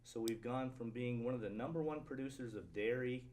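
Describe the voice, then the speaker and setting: A man's voice: mid-range voice, fairly quick, a little mellow. Guy from vermont on a panel, casual political talk, some noise behind him. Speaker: panel guest.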